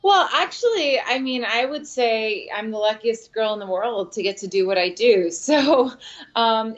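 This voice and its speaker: A woman who grew up speaking Spanish first, answering interview questions in a thoughtful, measured way with frequent fillers. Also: medium pitch. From Michigan, USA. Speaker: guest, opera singer. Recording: dull lo-fi sound.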